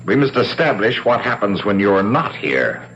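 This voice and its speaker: A company boss in a 1970s radio play, deep and very animated. He is dry and brisk, teasing with a bland, breezy air. The broadcast sound is dull. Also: a little hiss or hum. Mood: breezy, needling. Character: needling, breezy male boss of resort sales company. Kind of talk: 1970s radio drama acting.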